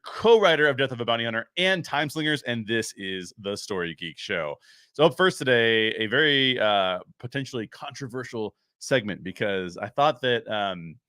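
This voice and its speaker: Male show host, bright forceful voice, mid pitch, dry close sound, casual emphatic commentary. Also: no echo. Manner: emphatic, repeats phrases for stress. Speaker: show host and story writer.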